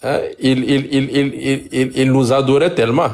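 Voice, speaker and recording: A man speaking on a live stream about politics, hesitant and halting with repeats. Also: mid-range voice, level tone, darkish tone, a little hiss or hum. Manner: hesitant, many fillers and repeats. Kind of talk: political discussion.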